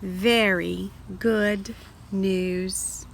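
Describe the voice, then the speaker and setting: A woman reading picture books aloud to young children, explaining directly to her listeners with expressive delivery. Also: medium pitch, drawn-out words, creaky, a little hiss or hum. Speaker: storybook reader for children. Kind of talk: read-aloud picture book narration.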